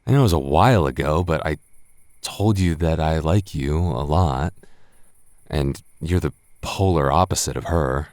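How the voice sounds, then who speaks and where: A man's voice: rumbling low, normal speed, darkish tone, no room sound, clean studio sound. A young man acting a tipsy, flustered roommate hiding a crush in an intimate boyfriend roleplay, stammering and defensive in a conversational way. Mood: embarrassed, defensive.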